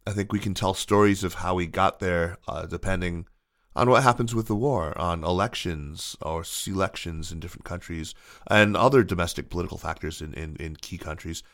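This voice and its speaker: Podcast host, male, very low voice, measured and articulate delivery, dry close-mic sound. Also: a bit bright, no room sound. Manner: measured, articulate, with frequent fillers and restarts. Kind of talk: scripted intro then interview.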